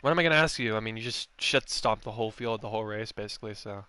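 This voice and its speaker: A man's voice: on the low side, racing, bright, forceful tone, smooth, no room sound. A man hosting a post-race sim racing stream, chatting casually with drivers and joking crudely. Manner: joking, laughing, crude banter.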